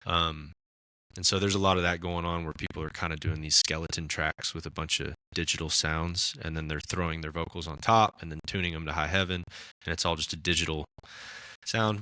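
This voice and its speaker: A raspy-voiced man in casual podcast chat, talking about his music with lots of fillers and restarts. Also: sits low, steady tone, fairly quick, bright, forceful tone, dry recording. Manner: rambling, many fillers and restarts. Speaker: musician guest.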